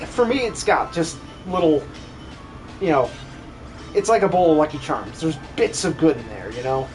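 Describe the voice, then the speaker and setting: Male game streamer, casual commentary, lively up-and-down delivery, music in the background. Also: a little high, moderate pace, low-quality recording. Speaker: streamer and amateur voice actor.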